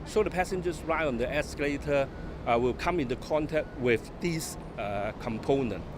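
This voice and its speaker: Halting man briefing press amid street noise. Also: mid-range voice, animated. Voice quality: halting, hesitant delivery. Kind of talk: unscripted statement to press. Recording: clear street and traffic noise.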